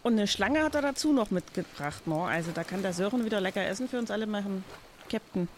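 A man's voice: sits high, lively intonation, quick pace, slight background noise, pitched above the performer's own voice. A man voicing a folksy, loyal, enthusiastic pirate crew mate in an improvised audio drama. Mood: cheerfully admiring.

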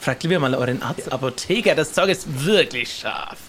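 A man playing a talkative, skittish pirate in an improvised audio adventure play, excitable and prone to repeating himself. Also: mid-range voice, normal speed, slight background noise, lightly acted. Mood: chatty, inviting. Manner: talkative, excitable, hesitant repetitions. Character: chatty, skittish pirate ship driver and chili lover.

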